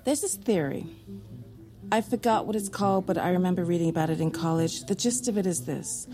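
An actress playing a blunt, free-spirited young heiress in a screen drama, talking in a wry, unguarded way. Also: female voice, fairly low pitch, a little fast, lightly acted. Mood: wistful, playful. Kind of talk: naturalistic screen drama acting.

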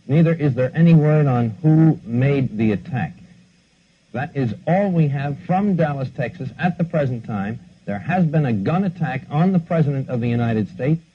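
A dull archival recording with faint noise carries a man's news bulletin. He is a 1960s anchor with a medium-pitched voice, reporting live. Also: animated, moderate pace. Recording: muffled, noisy 1960s archival broadcast audio.

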